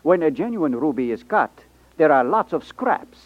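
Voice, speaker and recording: Courteous, animated old-time radio shopkeeper. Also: male voice, on the high side, normal speed, no room sound. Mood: proud, explaining. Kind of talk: 1950s radio drama acting. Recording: muffled 1950s radio recording.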